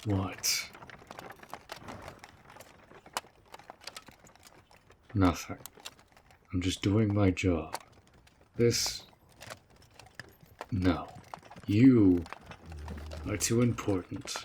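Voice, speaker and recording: Man acting a slow, low-voiced protective orc general. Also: a little mellow, slight background noise. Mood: dutiful, worried. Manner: slow, measured, earnest, with sighs. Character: protective male orc general, gruff, dutiful, tender underneath. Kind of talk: scripted roleplay audio drama.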